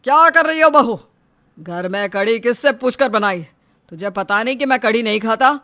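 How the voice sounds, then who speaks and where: A performer voicing a tyrannical middle-aged father who is domineering, threatening and quick to shout in a melodramatic story. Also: medium pitch, expressive. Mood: angry, domineering. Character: tyrannical, short-tempered middle-aged father and shop owner. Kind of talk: melodramatic audio story dialogue.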